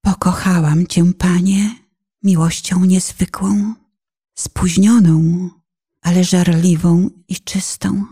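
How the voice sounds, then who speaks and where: A woman's voice: lowish voice, steady tone, takes it slowly, mellow, breathy edge, exaggerated voice. A woman performing a penitent lost soul who prays fervently and solemnly in a poetic radio play. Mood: fervent, sorrowful. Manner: fervent, pleading, solemn, sometimes dropping to whispers. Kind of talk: dramatic poetic recitation, prayer.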